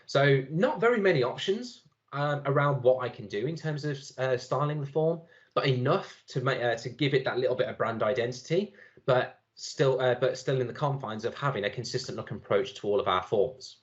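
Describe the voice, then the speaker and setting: Chatty man demoing software. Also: mid-range voice, brisk, highs cut off. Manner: relaxed, chatty with many fillers and restarts. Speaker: conference speaker giving a software demo. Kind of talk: live screen-share demo talk.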